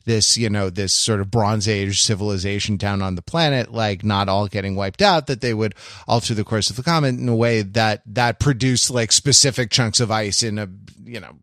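A man co-hosting a podcast in casual analytical chat, haltingly repeating words as he works out his points. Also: fairly low pitch, fairly bright tone, no echo, clean studio sound. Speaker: podcast co-host.

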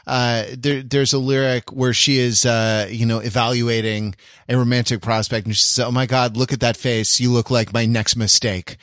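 A man co-hosting a casual, reflective pop culture discussion, halting and stammering as he works out his ideas. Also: mid-range voice, little pitch movement, a bit bright, dry recording, studio quality. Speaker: podcast co-host.

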